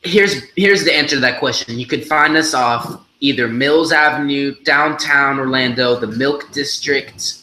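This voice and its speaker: A man's voice: medium pitch, measured pace, hardly any pauses, bright, forceful tone. A man being interviewed as a band member, casual and playful, throwing in wisecracks. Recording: echoey, slightly noisy room.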